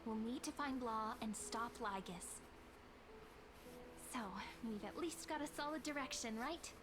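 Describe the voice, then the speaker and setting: A woman playing a gentle, earnest, slightly unsure young girl in a video game, speaking thoughtfully and a little hesitantly. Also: on the high side, measured pace, close to an everyday voice. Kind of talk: scripted video game dialogue. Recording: clear noise behind the voice.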